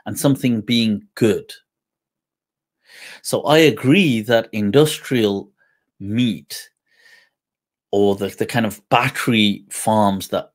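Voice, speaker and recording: A man in his thirties, an Islamic scholar answering questions on a livestream, talking casually and animatedly, with frequent laughs and repeated words. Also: fairly low pitch. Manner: animated, gesturing a lot, with frequent laughs and repetitions. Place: indoor studio set with a printed backdrop.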